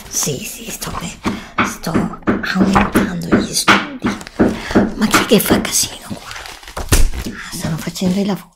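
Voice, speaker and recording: A woman's voice: medium pitch, unhurried, very noisy, natural voice. A woman playing a curious, stubborn student in a library, muttering and chatting in a whispered ASMR roleplay. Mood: busy, pleased. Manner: muttering to herself, chatting to an unseen companion.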